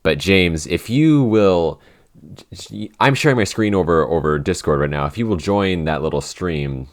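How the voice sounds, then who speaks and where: A man's voice: low-pitched, expressive, moderate pace. A man co-hosting a casual podcast Q&A, talking hesitantly with many restarts and fillers. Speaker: podcast head writer and editor, co-host.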